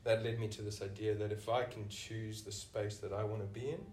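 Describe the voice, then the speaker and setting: A man's voice: on the low side, little pitch movement, brisk, darkish tone, far from the mic, a little hiss or hum. A man with a South African accent, a senior clinician answering interview questions thoughtfully, often restarting with "you know". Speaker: senior clinician, podcast guest. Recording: echoey room, voice off-mic.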